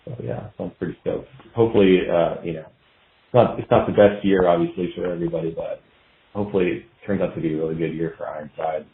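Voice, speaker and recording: A low-voiced man speaks casually as a podcast guest over a thin phone-like connection. He rambles haltingly, circling back on his phrases as he goes. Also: fairly even intonation, vocal fry, slight background noise. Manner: rambling, halting, trailing thoughts. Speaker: podcast guest, band guitarist. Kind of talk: casual interview chat. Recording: thin phone-like line with room echo.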